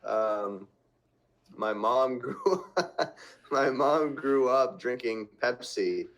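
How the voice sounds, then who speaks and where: A man's voice: medium pitch, drawn-out words, fairly bright tone, rough recording. A man from central Vermont, a podcast guest, chatting in an easygoing, rambling way. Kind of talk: casual chat.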